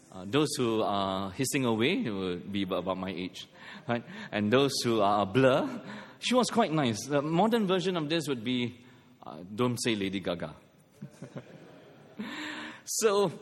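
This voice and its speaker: A middle-aged man from Malaysia preaching a sermon, mixing scripture with humorous personal stories. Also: on the high side. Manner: storytelling with self-deprecating humor. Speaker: pastor. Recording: long hall reverb.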